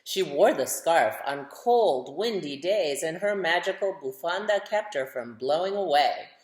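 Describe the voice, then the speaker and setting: A woman's voice: on the low side, expressive, measured pace, darkish tone. A woman reading a picture book aloud to children, expressively, putting questions to her young listeners. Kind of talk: storytime read-aloud for children. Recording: roomy, echoing sound.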